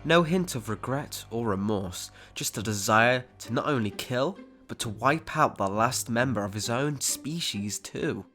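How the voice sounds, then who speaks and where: A man's voice: medium pitch, animated, measured pace, few pauses, pushed and bright. A man narrating a scripted dramatic story as its storyteller. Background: background music.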